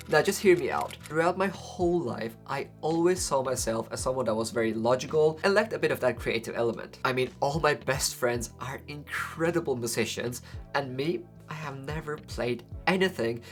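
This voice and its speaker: A young man with a Faroese accent, whose first language is Faroese, hosting a book channel and talking to the camera in a conversational way, often asking rhetorical questions. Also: medium pitch. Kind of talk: talking to camera about books.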